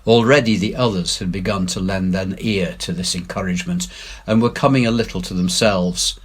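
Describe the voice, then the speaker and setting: A man giving a dramatic, measured, expressive reading of an adventure novel. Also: sits low, breathy edge. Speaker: story reader, head teacher.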